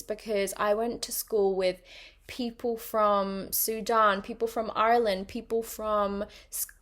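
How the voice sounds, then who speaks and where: A young woman with a partly British accent, chatting casually on her own podcast with plenty of ums and likes. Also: medium pitch. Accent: mixed British and international. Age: young adult. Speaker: podcast host. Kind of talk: casual personal monologue.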